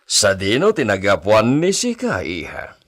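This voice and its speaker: A man playing an elderly, indulgent landowner father in a Filipino radio drama, speaking with a Filipino accent and measured fatherly delivery sprinkled with Spanish. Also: on the low side, wildly swooping pitch, fairly bright tone, exaggerated voice. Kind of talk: Ilocano radio drama acting.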